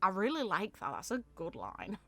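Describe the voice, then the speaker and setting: A woman's voice: medium pitch, huge pitch swings, normal speed, creaky, no room sound, faint music behind. A woman giving casual, reflective commentary on a video game in an informal, opinionated way.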